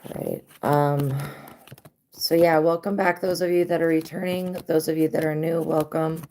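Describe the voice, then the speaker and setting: A woman tarot reader hosting a live stream in a casual monologue, with a hoarse, worn-out voice and a blunt, profane way of talking. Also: deep voice, fairly even intonation, normal speed, dark tone, slight background noise. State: hoarse, lost voice.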